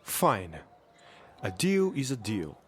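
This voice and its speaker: An actor playing a gruff, skeptical local villager in a jungle adventure audio drama, deliberate and ominous. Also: male voice, on the low side, wildly swooping pitch, noisy background, heavily acted. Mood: grave warning.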